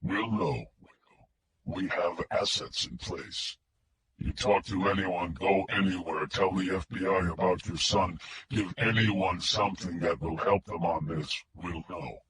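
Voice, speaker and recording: A man voicing a menacing male kidnapper who speaks through a voice filter, with slow, cold, flat threats. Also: sits low, slight background noise, pitched below the performer's own voice, rough recording. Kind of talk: audiobook narration.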